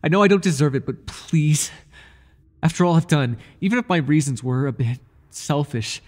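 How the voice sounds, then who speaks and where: A man playing an obsessive, groveling high priest who serves a dark master, speaking in a pleading, servile way in an intimate ASMR roleplay. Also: moderate pace, natural voice. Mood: desperate begging. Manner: pleading, servile, breathless with devotion.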